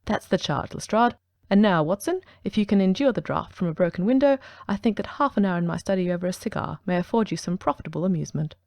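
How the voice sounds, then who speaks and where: A woman voicing a brilliant male detective who speaks in a brisk, precise, ironic way, in an audiobook reading. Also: medium pitch, lively intonation, vocal fry, a little mellow, dry recording.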